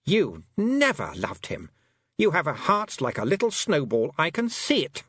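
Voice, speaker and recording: A man's voice: a little high, huge pitch swings, a little slow, a bit bright, slightly rough, dry recording, clean studio sound, pitched above the performer's own voice, exaggerated voice. A man voicing a stubborn young witch girl who speaks bluntly and defiantly.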